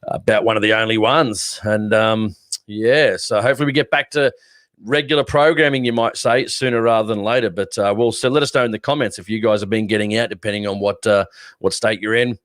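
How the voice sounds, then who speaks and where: A man's voice: fairly low pitch, fairly quick, fairly bright tone, no echo. An Australian man co-hosting a casual live stream. He talks bluntly and sarcastically and swears freely. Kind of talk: casual opinionated chat.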